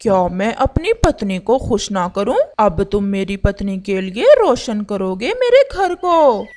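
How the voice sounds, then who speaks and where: A woman voicing a scheming male crow husband in a cartoon story, sly, drawn-out and eager to please. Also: mid-range voice, sing-song, a little mellow. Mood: menacing.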